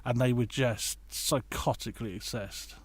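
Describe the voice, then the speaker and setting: A man's voice: mid-range voice, fairly even intonation, moderate pace, slightly rough, no room sound. Man chatting casually as a podcast guest. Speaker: podcast guest, audio drama creator.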